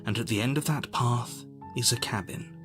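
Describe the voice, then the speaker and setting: A man's voice: mid-range voice, normal speed, over music, close to an everyday voice. An actor playing a prim, exasperated storybook narrator in a horror game, theatrical and sardonic in his delivery. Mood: solemn narration. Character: prim, exasperated male narrator steering a reluctant hero.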